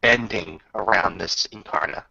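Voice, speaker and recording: A man improvising dramatic, descriptive narration as game master of a tabletop roleplaying game, pausing between phrases. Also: sits low, creaky, sharp tone, muffled recording.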